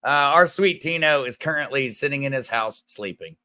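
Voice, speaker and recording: A man with a bright, smooth voice hosts a relaxed livestream. He greets viewers by name in a friendly way and rambles a little between comments. His tone is crisp and clear. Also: medium pitch, moderate pace. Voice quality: smooth radio-like voice. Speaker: crypto livestream host. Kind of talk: casual livestream chat reading viewer comments.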